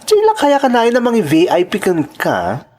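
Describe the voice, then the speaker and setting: A woman's voice: fairly high pitch, huge pitch swings, normal speed, darkish tone. A woman with a Filipino accent playing a brash, gossipy young club entertainer who teases and pries in a radio-style drama. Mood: teasing, prying. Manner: teasing, nosy, slangy.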